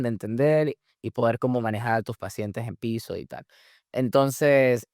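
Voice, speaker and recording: A man, a medical resident whose first language is Spanish, answering interview questions in a relaxed explanatory way with many restarts and fillers. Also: mid-range voice, no echo, studio quality. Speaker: guest, surgery resident in the US.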